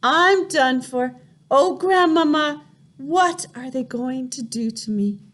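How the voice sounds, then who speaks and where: A woman voicing a terrified young boy whose high voice pleads in panic. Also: slow, fairly bright tone.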